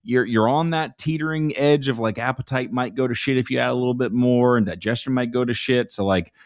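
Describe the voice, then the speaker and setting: A man's voice: medium pitch, brisk, no room sound. Man in his thirties, dry close sound. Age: 30s. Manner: loose and swearing, many "like" fillers. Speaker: podcast host and coach. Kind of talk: casual podcast chat.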